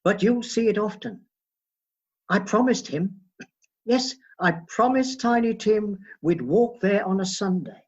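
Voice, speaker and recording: A man playing a humble, grief-stricken father in a radio play, tender and halting. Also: high voice, animated, breathy edge, full character voice. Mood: tender, apologetic. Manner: halting, tender, repeating words. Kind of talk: radio drama acting. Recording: dull, muffled recording.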